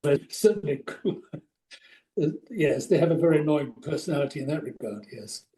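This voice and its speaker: Male, slightly high pitch, reflective discussion, roomy echo. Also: animated, normal speed, dark tone.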